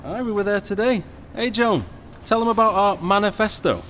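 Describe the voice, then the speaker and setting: An actor playing an outspoken young theatre activist in a radio drama. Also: male voice, high voice, normal speed, a little hiss or hum. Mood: teasing, eager. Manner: animated, outspoken. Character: Ewan, outspoken young working-class male theatre activist.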